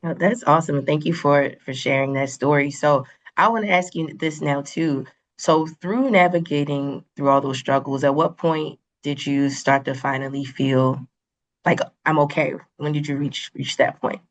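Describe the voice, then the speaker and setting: A podcast host asking questions in a warm, encouraging, casual way. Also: medium pitch, steady tone, moderate pace. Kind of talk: casual interviewing.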